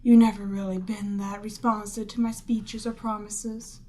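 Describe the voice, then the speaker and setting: A woman voicing a proud, seductive demon queen in a scripted ASMR roleplay, haughty and measured, lapsing into breathy near-whispers. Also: medium pitch, flat delivery, darkish tone, lightly acted, low-quality recording. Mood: imperious, needling.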